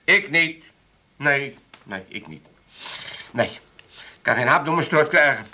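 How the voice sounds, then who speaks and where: A lovestruck, lively old Amsterdam father. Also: mid-range voice, exaggerated voice. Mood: dreamy, excited. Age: older. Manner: dramatic, halting, repeats words when moved. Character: lovestruck, gruff old Amsterdam family father, sentimental and blustering. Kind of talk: 1980s comedy radio play acting.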